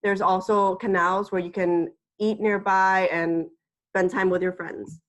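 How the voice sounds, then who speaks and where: An eighteen-year-old woman from the Dominican Republic presenting a webinar as a student, steady and explanatory. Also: medium pitch, measured pace.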